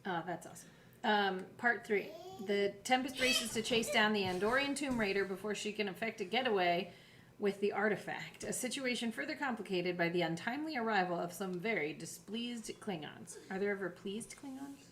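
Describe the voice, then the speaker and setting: A woman's voice: medium pitch, animated, moderate pace, fairly bright tone. A woman co-hosting a podcast in a casual, chatty style. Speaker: co-host of a behind-the-scenes podcast. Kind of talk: casual chat, reading episode blurbs aloud.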